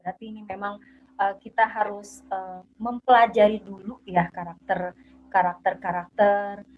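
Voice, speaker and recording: A woman's voice: mid-range voice, flat delivery, moderate pace. Polite woman hosting an interview. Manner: polite, summarizing, many fillers. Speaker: host, interviewer. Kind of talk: live interview. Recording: dull lo-fi online audio, faint noise.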